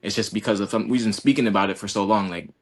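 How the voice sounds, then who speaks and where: A man's voice: on the low side, quick pace, slight background noise. A man in his twenties, a college basketball player, talking in a rambling, earnest way and saying "like" constantly. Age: 20s. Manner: rambling, heavy use of "like", earnest. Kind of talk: casual podcast conversation.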